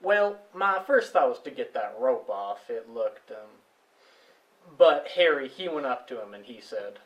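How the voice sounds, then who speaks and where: Heard a little way from the microphone, this is a plainspoken farmer witness acted by a man in a stage play. He has a mid-pitched voice and tells his account in a halting, folksy manner. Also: big pitch swings, far from the mic, muffled recording. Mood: earnest, uneasy. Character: plainspoken male farmer witness recounting a discovery. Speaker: stage actor. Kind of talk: amateur stage play dialogue.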